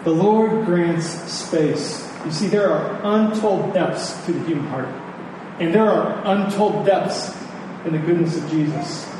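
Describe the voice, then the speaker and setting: A man's voice: fairly high pitch, a little slow. A man delivering a sermon as a pastor, earnest and emphatic, repeating words for stress. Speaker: pastor preaching to his congregation. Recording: echoey hall, distant mic, noisy background.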